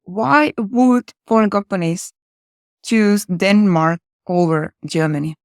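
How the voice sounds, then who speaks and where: A woman's voice: mid-range voice, slow, no echo, clean studio sound. A woman hosting an interview in English, which is not her native language, asking thoughtful questions over a video call. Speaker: host, interviewer.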